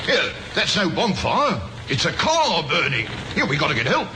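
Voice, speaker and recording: A man's voice: on the high side, wildly swooping pitch, normal speed. An actor playing a curious, insistent working man in a 1950s radio drama. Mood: urgent. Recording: noisy old radio recording.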